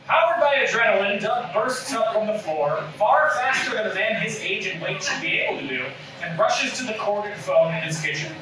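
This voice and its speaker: A man's voice: high-pitched, animated, moderate pace, hardly any pauses. Male table-read narrator, stage directions, distant mic with noticeable noise and strong room echo.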